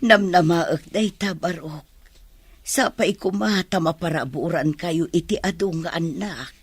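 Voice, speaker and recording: A woman's voice: lowish voice, a little slow, husky, no room sound. A woman with an Ilocano accent playing a caring, pious middle-aged mother in a Filipino radio drama. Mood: hopeful.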